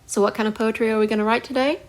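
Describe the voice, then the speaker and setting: A woman English teacher giving a video lesson to young students in an animated, conversational way with emphatic repetition. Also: a little high, very fast.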